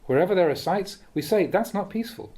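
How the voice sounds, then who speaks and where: A man reading a Buddhist teaching aloud in a measured, contemplative manner, posing questions to the listener as he goes. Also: high-pitched, lively intonation, warm tone. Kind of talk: read-aloud dharma talk.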